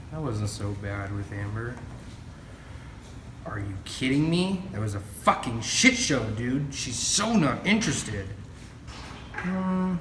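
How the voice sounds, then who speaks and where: Man acting as obsessive student, noisy echoey recording. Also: medium pitch. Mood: defensive. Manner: casual slangy college talk. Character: obsessive, deluded young male student defendant. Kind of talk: amateur student film acting. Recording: echoey, distant mic, clear background noise.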